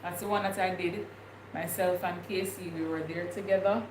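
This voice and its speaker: A woman's voice: on the low side, steady tone, moderate pace. A young woman with a Jamaican accent chatting casually on a livestream, rambling and thinking aloud with many fillers. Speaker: vlogger. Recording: echoey room with clear background noise.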